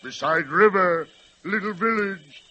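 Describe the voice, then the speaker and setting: A man's voice: high-pitched, unhurried, bright, forceful tone, exaggerated voice. An actor playing a stolid, dreamy male train who speaks broken English in short phrases, in 1940s radio style. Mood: wistful, solemn. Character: stolid male train called Chief, dreaming of being horse.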